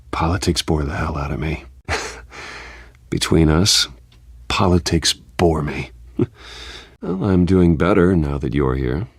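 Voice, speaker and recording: A man playing a suave, charming billionaire socialite in a video game, with a smooth, easygoing, flirtatious manner. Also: very deep voice, no room sound, clean studio sound, full character voice. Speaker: game voice actor. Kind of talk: polished game dialogue acting.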